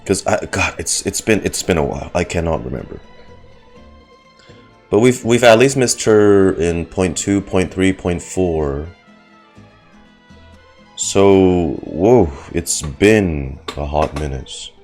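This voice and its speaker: Guy streaming a game, deep voice, slow n halting, wry, faint music. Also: animated, a little mellow. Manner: halting, wry, self-joking. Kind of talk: casual live gameplay commentary.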